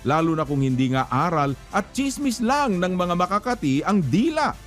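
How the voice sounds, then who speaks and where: Animated high-voiced man preaching. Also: moderate pace, faint music behind. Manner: admonishing, emphatic. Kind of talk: religious exhortation with rhetorical questions and scripture quotes.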